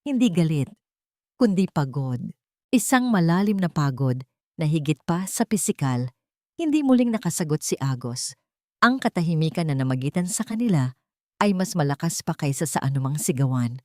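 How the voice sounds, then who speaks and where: Low-voiced woman narrating, dry and close. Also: big pitch swings, normal speed, a little mellow, dry recording. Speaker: story narrator. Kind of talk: scripted dramatic narration of a love story.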